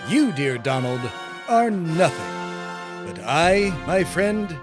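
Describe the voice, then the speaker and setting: A man's voice: fairly high pitch, big pitch swings, slow pace, music in the background. A young man hosting a scripted comedy podcast, speaking in a pompous, grandiose and theatrical manner. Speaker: podcast host.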